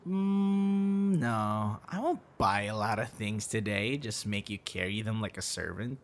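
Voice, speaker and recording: A man's voice: lowish voice, lively intonation, a little slow, a little hiss or hum. A young man acting a teasing, friendly boyfriend figure in an intimate, casual audio roleplay. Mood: casual, playful. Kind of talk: ASMR boyfriend roleplay, intimate one-sided dialogue.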